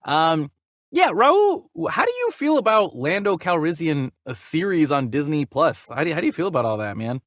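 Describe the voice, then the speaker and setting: A man's voice: a little high, big pitch swings, moderate pace, dry recording, clean studio sound. A man co-hosting a casual movie news podcast, chatty and enthusiastic in a geeky way. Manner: chatty, enthusiastic self-described geek. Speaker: podcast co-host.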